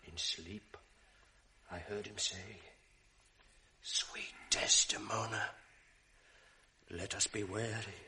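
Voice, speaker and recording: An actor playing a manipulative ensign in a classic radio drama, insinuating and often dropping to a whisper. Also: male voice, lowish voice, steady tone, takes it slowly, pauses often, noisy background. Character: scheming male ensign, sly and manipulative.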